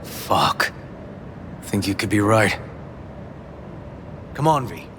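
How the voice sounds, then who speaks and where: A man playing a gruff, world-weary streetwise investigator in a video game. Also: lowish voice, animated, slow pace, fairly bright tone, a little hiss or hum. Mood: grim, businesslike.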